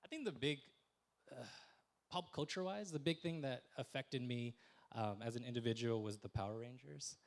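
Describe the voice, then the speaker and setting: A man's voice: mid-range voice, normal speed, vocal fry. A young man answering on a discussion panel, speaking thoughtfully with frequent ums and restarts. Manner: thoughtful, many fillers and restarts. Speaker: panelist, film festival director.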